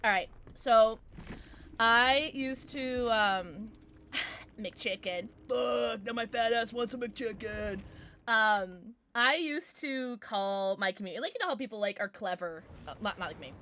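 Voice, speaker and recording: Woman streamer, alto, sarcastic banter with viewers, bright and bouncy delivery, faint hum behind. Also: measured pace. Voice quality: low alto speaking voice. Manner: chatty, sarcastic, reacts to chat with sudden squeals and laughs. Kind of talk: casual livestream chat while gaming.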